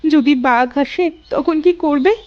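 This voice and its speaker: A woman's voice: sits high, slow pace, highs cut off. A woman playing a poor, emotive village wife who longs for her child, in a cartoon fairy tale. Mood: concerned.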